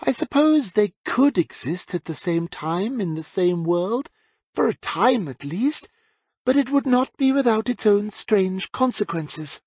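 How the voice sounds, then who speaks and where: A man's voice: high-pitched, animated, unhurried, a little mellow, breathy edge, dry recording, voice pushed up, exaggerated voice. A man voicing a gleeful, cruel aristocratic villainess in an audiobook, condescending and theatrically polite. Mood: smug. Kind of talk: audiobook character voice.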